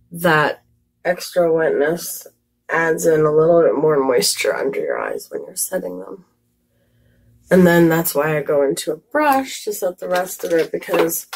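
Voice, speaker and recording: A woman vlogger talks her way through a makeup routine in a slightly low, husky voice. It sounds scratchy and a little hoarse today. She rambles casually, full of fillers and side remarks. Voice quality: scratchy, raspy voice. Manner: chatty, rambling asides with many fillers. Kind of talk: casual makeup tutorial chat.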